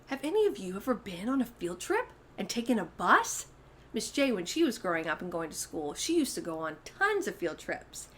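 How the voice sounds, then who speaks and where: A woman hosting a storytime for young children, reading aloud expressively and speaking directly to her listeners. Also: fairly high pitch. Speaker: children's storytime host and reader.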